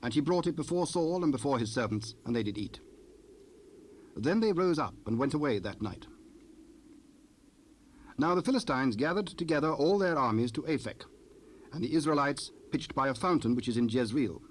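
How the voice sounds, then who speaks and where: A man with a mid-pitched voice narrates scripture, measured and solemn. A faint layer of cassette noise runs underneath. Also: animated. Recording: faintly noisy 1970s cassette rip.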